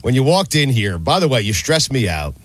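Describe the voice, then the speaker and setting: A man's voice: medium pitch, sing-song, fairly quick, husky, no echo. A middle-aged man with an American accent, hosting a talk show and interviewing in a casual, joking way.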